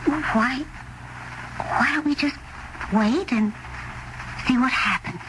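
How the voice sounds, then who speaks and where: A woman acting in a radio drama as a tactful doubter, gentle and halting as she speaks. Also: sits high. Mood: hesitant, doubtful. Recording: noisy old radio drama recording.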